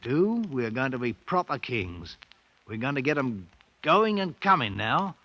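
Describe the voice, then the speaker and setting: A man's voice: mid-range voice, very animated, unhurried, pushed and bright, dull audio, a little hiss or hum, full character voice. A man in a 1950s radio drama playing a bold, ambitious British ex-soldier who makes himself king, commanding and boastful in colloquial speech. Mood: scheming, fervent.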